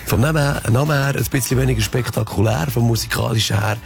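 Swiss radio guy doing event tips, bit of gravel in his voice, music playing clearly behind. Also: mid-range voice, fast. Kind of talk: radio event preview presentation.

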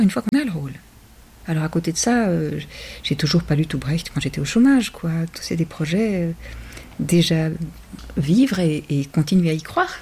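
Husky woman in her fifties, reflective. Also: lowish voice, dark tone. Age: 50s. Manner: thoughtful, measured, wry. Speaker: actress interviewee. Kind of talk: reflective interview talk.

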